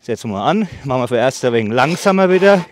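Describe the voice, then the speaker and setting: A man in his thirties demonstrating a saw outdoors in casual talk, a bit out of breath, with many fillers and pauses. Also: mid-range voice, big pitch swings, slight background noise. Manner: chatty, filler-heavy, pauses while sawing. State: slightly out of breath from sawing. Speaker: bushcraft channel host. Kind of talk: casual product demo. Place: outdoors in a leafy meadow by trees. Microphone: far camera on a tripod.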